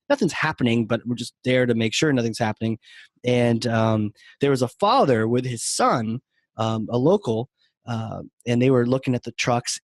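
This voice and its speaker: A man's voice: medium pitch, dry recording. A man from New Hampshire, co-hosting a podcast, telling stories in a chatty way and laughing often. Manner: chatty storyteller, laughs often, restarts phrases. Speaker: podcast co-host. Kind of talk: casual chat.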